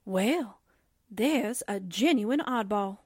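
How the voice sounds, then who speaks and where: A woman playing a plucky, slangy young sidekick reporter in a 1940s-style radio drama, breezy and expressive. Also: medium pitch, slow pace, very noisy.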